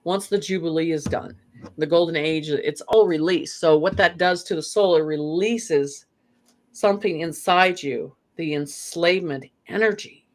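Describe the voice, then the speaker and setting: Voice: medium pitch, normal speed. A guest on an interview show, a remote viewer who talks at length about spiritual ideas in a meandering, earnest way. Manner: meandering, many fillers and restarts, earnest. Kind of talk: rambling spiritual talk.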